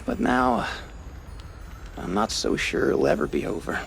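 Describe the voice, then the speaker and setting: Creaky, wildly expressive eccentric cartoon mouse. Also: male voice, lowish voice, unhurried, a little hiss or hum, exaggerated voice. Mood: worried. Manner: theatrical, hesitant, evasive, sighing. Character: cynical, guilt-ridden eccentric rich mouse, war veteran. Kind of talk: animated noir game acting.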